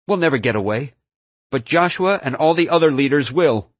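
A man's voice: on the high side, expressive, normal speed, no echo, pitched above the performer's own voice. A man voicing a young male villain in an audiobook, speaking with firm, resolved confidence. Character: newly confident young male villain having second thoughts. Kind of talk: audiobook character acting.

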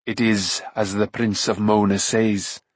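Guy doing a stern old-school warrior lord, audiobook, firm measured formal speech, dry sound. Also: fairly low pitch, fairly bright tone, slightly rough, no echo. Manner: firm, slow, careful, archaic formal speech. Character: grave, weathered, noble warrior lord, resolute. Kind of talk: audiobook character acting.

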